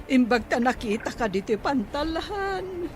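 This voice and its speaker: A woman's voice: high voice, big pitch swings, a little slow, music in the background. A woman with an Ilocano accent playing a distressed older mother, plaintive and worried, in a radio drama. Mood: tearful, worried. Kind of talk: Filipino radio drama acting.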